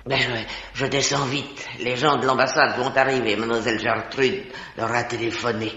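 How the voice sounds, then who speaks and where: An actor playing an anxious hotel employee in a 1950s French radio mystery, speaking in a hesitant, confidential way. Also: male voice, mid-range voice, level tone, moderate pace, bright, forceful tone. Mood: worried, confiding. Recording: dull, noisy, echoey vintage radio recording.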